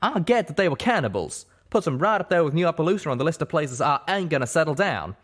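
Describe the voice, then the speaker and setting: A man's voice: fairly high pitch, very animated, quick pace, voice pushed up. A man voicing a hot-headed male pegasus with folksy cowboy speech in a storybook reading. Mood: indignant, loud.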